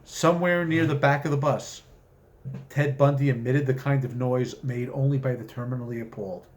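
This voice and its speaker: A man with a mid-pitched voice speaks as a writer sharing his own short fiction. His phrasing is measured and deliberate, in the manner of an author reading at home to listeners. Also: fairly even intonation. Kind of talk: story reading with spoken introduction.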